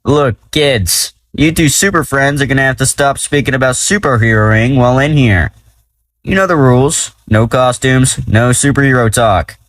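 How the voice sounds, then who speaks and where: An actor playing a brash, sarcastic male superhero in an audio drama, grumbling in a colloquial, gruff manner. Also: mid-range voice, normal speed, fairly bright tone, dry recording, close to an everyday voice. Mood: exasperated.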